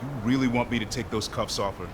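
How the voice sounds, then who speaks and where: An actor playing a stern, blunt commanding agent in a video game, gruff and scolding in manner. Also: male voice, mid-range voice, quick pace, lightly acted. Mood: stern, angry. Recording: clear engine-like background noise.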